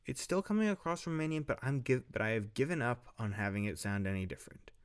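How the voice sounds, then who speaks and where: A man's voice: medium pitch, expressive, fast talker, clean tone. A man who voice-acts as an amateur, muttering casual, self-critical asides to himself between takes. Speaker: amateur voice actor recording lines.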